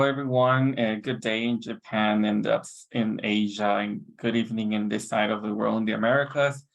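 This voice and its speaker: A man from Mexico, a consultant and professor, introducing himself in a measured way on a video call. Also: mid-range voice, creaky, low-quality recording. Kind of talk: casual panel introduction.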